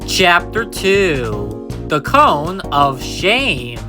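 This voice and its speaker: A woman's voice: deep voice, very animated, drawn-out words. A woman reading scripted narration for a children's comic dub read aloud. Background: music behind the voice.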